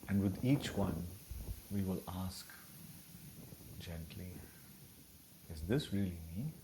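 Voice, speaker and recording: Guy doing a guided meditation, really deep voice, soothing, noticeable ambient noise behind him. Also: animated. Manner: soothing, unhurried, with long pauses between phrases. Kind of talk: slow, gentle guided meditation.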